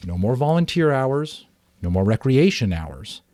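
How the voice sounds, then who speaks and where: Man acting a storybook mom, caring, earnest, patient advice, mellow, dry sound. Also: mid-range voice, big pitch swings, a little slow, no echo, voice pushed up. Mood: cautioning. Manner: patient, explanatory, gently advising.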